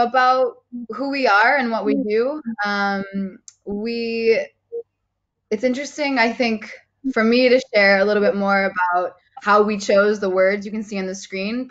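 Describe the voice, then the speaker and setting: Woman trainer, earnest and upbeat, mid pitch, lo-fi call audio. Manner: earnest, enthusiastic, many ums and you knows. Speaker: coach and trainer. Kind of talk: online training session presentation.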